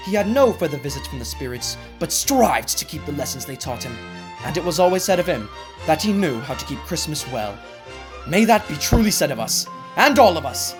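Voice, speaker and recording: A teenage boy narrating the close of a school stage play in a warm, measured storytelling manner. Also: fairly high pitch. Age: teens. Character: male storyteller closing the tale.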